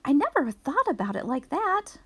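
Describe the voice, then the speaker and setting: A woman voicing an earnest, easily upset young ninja child from a picture book, expressive and questioning. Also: high voice, normal speed, exaggerated voice. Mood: curious.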